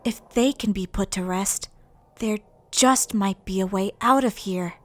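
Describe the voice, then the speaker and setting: A woman voicing a composed, solemn dead schoolgirl in a game dub, speaking in a measured, formal way. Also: mid-range voice, lightly acted. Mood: grave. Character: solemn dead high school paranormalist girl ghost. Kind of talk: anime game fan dub acting.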